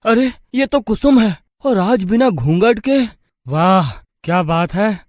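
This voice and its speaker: Guy doing an excitable young village man, high voice, horror comedy story, dry sound. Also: slow pace, no echo. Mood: amazed. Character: excitable, self-assured young village men in a horror tale.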